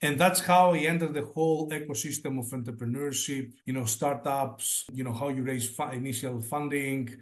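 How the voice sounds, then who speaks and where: A man's voice: mid-range voice, moderate pace, hardly any pauses. A man in his forties from Greece, a native Greek speaker, chatting as an interview guest and often restarting his phrases. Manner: restarts phrases often, fillers like "you know". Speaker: guest startup advisor. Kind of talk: casual interview chat.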